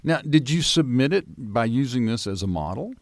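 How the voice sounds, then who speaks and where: A man's voice: mid-range voice, expressive, normal speed, no room sound. A man hosting an arts radio interview in a folksy, informal, conversational way. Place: university building studio.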